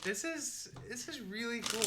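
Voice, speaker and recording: A man's voice: extremely high pitch, animated, normal speed, crowd noise. A man chatting casually and jokingly while playing a card game. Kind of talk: casual game-play chat.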